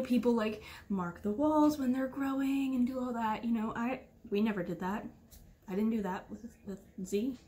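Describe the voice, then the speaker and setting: A woman's voice: medium pitch, a little hiss or hum. A woman of thirty-eight hosting her knitting podcast from her living room, chatting casually and rambling with lots of fillers and restarts. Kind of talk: casual rambling chat to camera. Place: living room at home, indoors.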